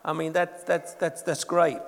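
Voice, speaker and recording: A man's voice: little pitch movement, measured pace, vocal fry. A middle-aged man with an English accent, preaching earnestly to a church congregation as a guest speaker. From England. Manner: earnest, rises in pitch and volume when reading scripture. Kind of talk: sermon. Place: church hall. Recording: long hall reverb in a church.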